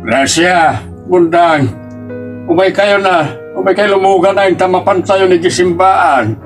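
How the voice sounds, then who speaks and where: A man's voice: high voice, a little slow. A man acting in an Ilocano audio drama, playing an earnest, emotional family man with an Ilocano accent. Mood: concerned. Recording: music bed under the voice.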